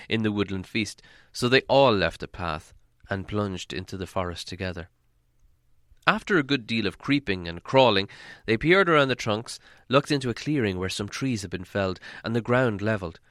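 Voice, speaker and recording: A man narrating a storybook from a script in a dramatic, expressive storytelling manner. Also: on the low side, no room sound, studio quality. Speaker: audiobook narrator.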